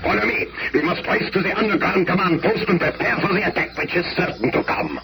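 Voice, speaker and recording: Grandiose masked radio villain, noisy tape. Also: male voice, high-pitched, sing-song, brisk. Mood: commanding. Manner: grandiose, commanding. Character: masked megalomaniac male villain, menacing and gloating. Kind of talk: 1970s superhero radio drama acting. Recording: very noisy old radio broadcast.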